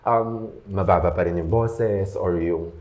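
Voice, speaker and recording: A man's voice: sits low, a little slow, darkish tone, reverberant. A young Filipino man with a Filipino accent, a podcast guest chatting animatedly with lots of fillers and emphatic questions. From Philippines. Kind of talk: casual chat, switching between Tagalog and English.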